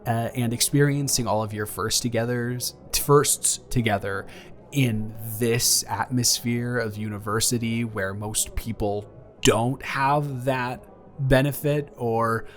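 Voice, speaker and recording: A man's voice: mid-range voice, close to an everyday voice. An earnest young ex-boyfriend, acted by a man, speaks in a mid-range male voice over background music. He is caring and a little awkward, stumbling and doubling back through his sentences. The delivery is intimate, improvised roleplay. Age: young adult. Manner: hesitant, stammering, rambling. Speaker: audio roleplay performer.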